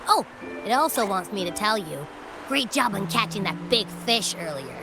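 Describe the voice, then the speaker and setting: A woman's voice: mid-range voice, big pitch swings, normal speed, over music, rough recording. A woman playing a bold, upbeat, cheeky girl in a video game, brash and playful in a casual, exclamatory way. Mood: playful reassurance. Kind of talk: video game character acting.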